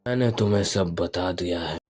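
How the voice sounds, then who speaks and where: A man's voice: deep voice, normal speed, darkish tone, husky, no echo. A man voicing a brooding, power-hungry young ninja rival with cold, menacing declarations, in an amateur anime fan dub. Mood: cold menace. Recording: music behind the voice.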